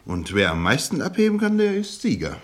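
An actor playing an effusive, ingratiating bachelor businessman in a German radio play, with a husky voice and a gushing, hesitant manner. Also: fairly high pitch, wildly swooping pitch, a little fast. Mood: jovial, coaxing. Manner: gushing, hesitant, chuckling between phrases. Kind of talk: naturalistic German radio drama acting.

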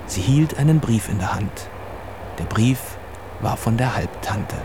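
A man narrating a children's radio play as a storyteller, in deliberate short phrases. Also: medium pitch, expressive. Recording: clear traffic and vehicle noise behind. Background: vehicle and outdoor ambience.

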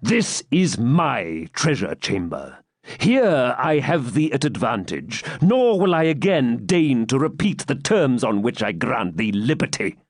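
A cold-hearted baron lays down his terms with slow, stern menace, voiced by a man reading a novel aloud. His words drag deliberately and carry contempt. The recording is dry and close. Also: mid-range voice, big pitch swings, dry recording. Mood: menacing. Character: cruel, sarcastic male Norman baron.

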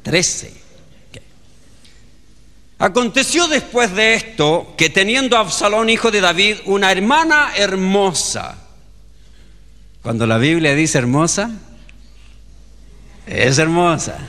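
Chilean preacher, bright and lively, in a hall. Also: male voice, high-pitched, slow, pauses often. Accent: Chilean Spanish. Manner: storytelling preacher, acting out dialogue. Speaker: pastor. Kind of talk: sermon.

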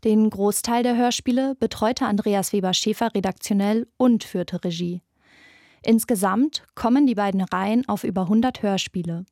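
A woman's voice: mid-range voice, moderate pace, a little mellow, dry recording, studio quality. A woman presenting a podcast in scripted documentary narration.

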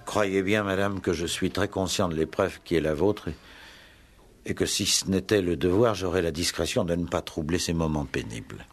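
An actor playing a discreet, measured police inspector who speaks politely and deliberately, in 1960s French radio drama style. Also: male voice, deep voice, soft background music, natural voice. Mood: tactful, probing. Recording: vintage 1960s radio play recording.

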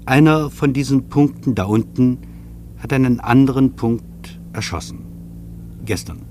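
A man acting a reflective air traveller in a radio play, narrating his travelogue in a measured, contemplative way. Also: mid-range voice, a little mellow, a little hiss or hum, close to an everyday voice. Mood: pensive. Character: reflective male air traveller observing from a plane.

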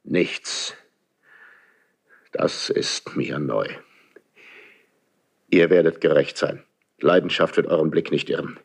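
A deep-voiced, brooding, commanding king. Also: vocal fry, full character voice. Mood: earnest, confiding. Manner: measured, weighty stage declamation with pauses. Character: proud, lonely, mistrustful aging king, commanding and brooding. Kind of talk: 1970s German radio play, classical verse acting.

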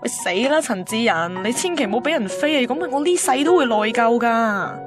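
A high, swooping voice over music from an actress plays a young Hong Kong woman in a radio drama. She is a well-meaning, easily flustered friend who chats in colloquial Cantonese with bits of English. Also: fairly quick, close to an everyday voice. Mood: anxious, guilty. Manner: animated, colloquial, mixes in English words. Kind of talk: Cantonese radio drama dialogue and inner monologue. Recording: music bed under the voice.

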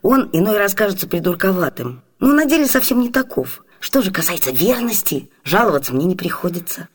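Radio play role: bold young noblewoman in love; husky tone, determined, stage delivery. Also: mid-range voice, sing-song, a little fast. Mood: resolute. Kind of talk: theatrical Soviet radio drama acting.

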